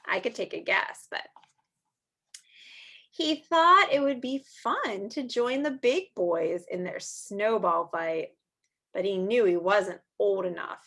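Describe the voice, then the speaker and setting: A woman's voice: mid-range voice, lively intonation, takes it slowly, vocal fry, a bit bright. A woman reading aloud to young children like a teacher, drawing out the story words expressively and adding chatty asides and questions.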